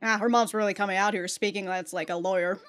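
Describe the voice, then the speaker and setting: A woman's voice: medium pitch, steady tone, fast talker, a bit bright, soft background music. A woman giving casual reaction commentary as a video host, blunt and jokey, with slangy, sweary talk.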